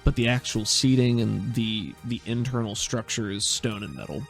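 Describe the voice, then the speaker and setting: A man's voice: lowish voice, lively intonation, moderate pace, creaky, soft background music. A man narrating a tabletop game as game master in a conversational, descriptive, improvised way.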